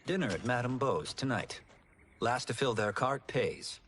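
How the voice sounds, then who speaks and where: Game role: male actor as contented, sensible young farmer, calm and slow, clear noise behind. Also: mid-range voice, close to an everyday voice. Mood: calm, gently teasing. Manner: calm, reasonable. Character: contented, grounded young farmer, gently teasing friend. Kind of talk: naturalistic video game acting.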